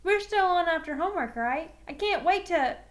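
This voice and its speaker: A woman voicing a timid, excitable young boy who blurts things out in a storybook. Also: extremely high pitch, normal speed, voice pushed up. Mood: excited, flustered. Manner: blurting, easily flustered. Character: excitable, timid, narrow-shouldered eighth-grade boy. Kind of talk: storybook character voice.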